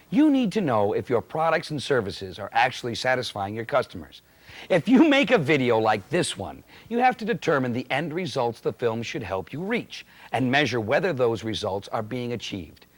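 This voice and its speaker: A man acting as a plain-spoken, emphatic presenter, giving scripted instruction in a corporate training film. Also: mid-range voice.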